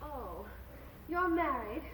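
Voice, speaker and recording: A woman's voice: high voice, big pitch swings, slow, a bit bright, slight background noise, exaggerated voice. An actress playing a chattering, pretentious widowed landlady in a stage musical, giggly and affected, dropping French phrases. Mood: gushing, flirtatious. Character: gushing pretentious widowed landlady, middle-aged. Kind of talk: stage musical, singing and acted dialogue. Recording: live stage soundboard, echoey theater hall.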